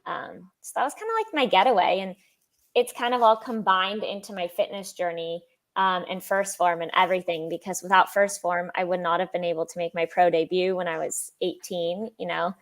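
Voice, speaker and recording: A young woman with a high-pitched voice, telling her story as an interview guest in a chatty way, laughing often and acting out quotes. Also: moderate pace. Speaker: sponsored athlete guest.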